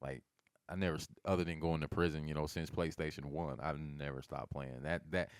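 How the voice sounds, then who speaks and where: A man on a casual podcast, talking freely with plenty of swearing. His voice is low and smooth, and it leaps up when he gets excited. The recording is dry and close to the mic. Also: fairly quick, dry recording. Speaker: podcast co-host. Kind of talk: casual chat.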